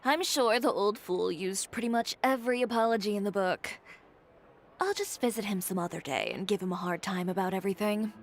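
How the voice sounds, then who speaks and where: A woman's voice: medium pitch, fairly quick, a little hiss or hum, lightly acted. Woman as a proud, dry-witted tailor. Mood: dismissive. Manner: dry, confident, teasing. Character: proud, sharp-tongued adult woman tailor.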